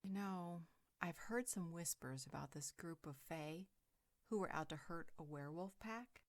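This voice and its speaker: Lady playing a thoughtful mom type, calm n measured, slightly low voice, audio roleplay. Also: lightly acted. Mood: thoughtful, concerned. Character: calm, motherly woman, thoughtful and protective. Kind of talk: roleplay audio drama acting.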